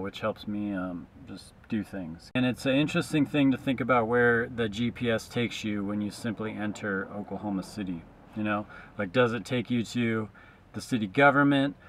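A man's voice: on the low side, fairly bright tone, slight background noise. A man in his thirties, a traveling street musician, thinking aloud in a loose, reflective vlog monologue from inside his car to a dashboard camera. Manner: reflective, musing, loose with fillers.

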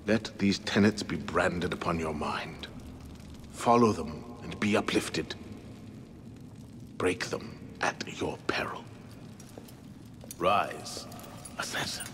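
An actor playing a solemn assassin master who leads an initiation rite in a grave, oratorical manner in a game. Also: male voice, low voice, slow pace, long pauses, whispered, long reverb tail. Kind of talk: ceremonial video game acting.

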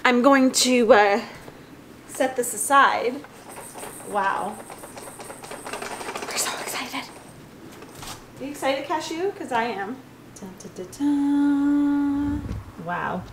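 Bubbly chatty young mom vlogging at home. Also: female voice, on the high side, slight background noise. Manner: bubbly, chatty, laughs often. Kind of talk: casual vlog chat. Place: large bright home kitchen and bedroom. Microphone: camera held at arm's length.

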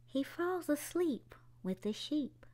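Slow, animated woman reading a children's story. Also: sits high. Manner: expressive, addressing young children. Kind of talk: children's picture book read-aloud.

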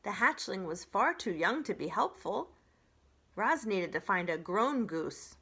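A middle-aged woman reading a children's story aloud in an expressive storytelling style. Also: mid-range voice, a little slow, slightly rough. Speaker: children's library story reader.

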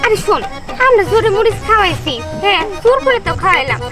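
A woman's voice: very high-pitched, big pitch swings, fast. A woman playing an argumentative young village girl with a Bangladeshi accent in a colloquial comedy drama. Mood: uneasy. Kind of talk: Bengali comedy short drama. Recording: outdoor film audio, loud music, crowd noise.

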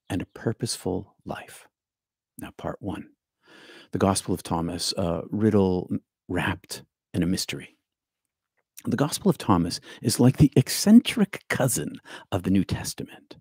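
Older guy w gravelly husky voice doing a spiritual talk at home, hushed bits, dry close mic. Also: sits low, no echo. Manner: reads from notes, drops to near whisper for emphasis. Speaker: spiritual teacher. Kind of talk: scripted spiritual talk to camera. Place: home study, indoors. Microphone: studio mic on stand with headphones.

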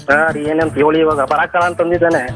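A man's voice: on the high side, little pitch movement, fast, over music. A man with a southern Karnataka accent, a driver on the phone, answering in a plaintive, placating and bewildered way. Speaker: driver, prank-call victim. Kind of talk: phone conversation. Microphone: telephone.

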